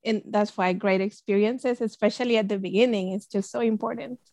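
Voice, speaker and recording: A woman's voice: mid-range voice, steady tone, fairly quick, a little mellow, dry recording. A woman from El Salvador, a librarian guest telling her story in an earnest, conversational way.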